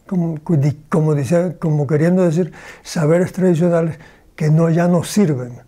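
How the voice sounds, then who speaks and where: An older man from Cuba, a philosophy professor, answering interview questions slowly and deliberately in a small room with bookshelves, pausing often to search for words. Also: fairly high pitch, fairly even intonation, mellow.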